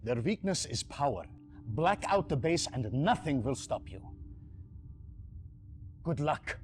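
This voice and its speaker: A man playing a calm allied officer who delivers tactical advice in a measured, matter-of-fact way. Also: mid-range voice. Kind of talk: game cutscene acting.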